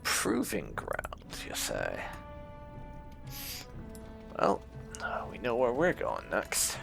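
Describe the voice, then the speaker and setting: A man's voice: lowish voice, wildly swooping pitch, extremely slow, creaky. A man hosting a casual roleplay playthrough of a game, musing and wry as he comments. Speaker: gaming video host. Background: game music.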